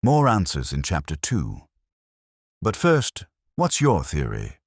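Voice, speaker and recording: A man narrating a scripted mystery story in a measured, formal way. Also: sits low, huge pitch swings, no echo, clean studio sound.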